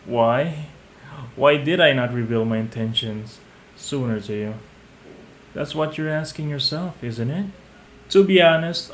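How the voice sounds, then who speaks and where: A man acts out a young crime-family heir in an intimate audio drama. His mid-pitched voice is courteous and measured, with a condescending, calculating edge. The room echoes around him, and faint noise sits behind the words. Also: close to an everyday voice. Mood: mocking, gloating. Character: young mafia heir, falsely naive and polite, coldly manipulative. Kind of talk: scripted audio roleplay addressed to the listener. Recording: roomy echo, some background noise.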